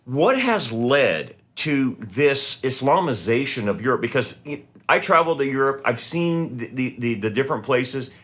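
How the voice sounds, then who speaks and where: Middle-aged male host, mid-pitched, friendly, meandering questions, lots of restarts. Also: animated, normal speed, hardly any pauses, a bit bright. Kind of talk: conversational interview hosting.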